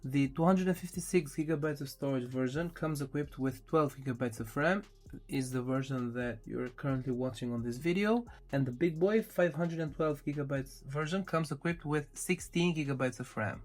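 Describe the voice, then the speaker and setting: A man presenting a casual tech unboxing, explaining things step by step with small hesitant pauses. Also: a little high. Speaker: tech reviewer presenting his channel.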